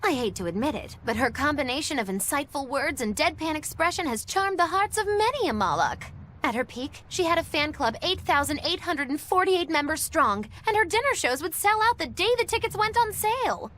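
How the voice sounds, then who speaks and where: A woman playing a lively, caring young woman in a video game, expressive and a little theatrical. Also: high-pitched, fairly quick, a little hiss or hum. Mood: admiring. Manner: expressive, animated. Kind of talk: animated video game dialogue.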